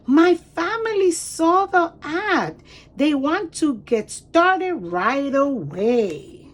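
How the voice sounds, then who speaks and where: A woman reading a picture book aloud to children in an expressive, deliberate way, pausing to explain words and point things out. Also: high voice. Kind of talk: animated children's read-aloud with commentary asides.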